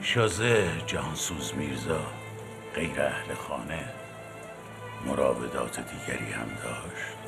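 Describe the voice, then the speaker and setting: An actor playing a grave, formal older official in a period television drama, speaking in measured archaic phrases. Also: male voice, a bass voice, creaky, music in the background.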